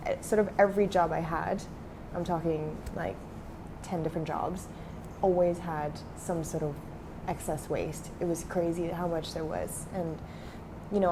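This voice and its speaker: A woman's voice: fairly low pitch, noisy background. A young adult woman who grew up in Switzerland, talking casually as a startup founder in an interview, with many fillers.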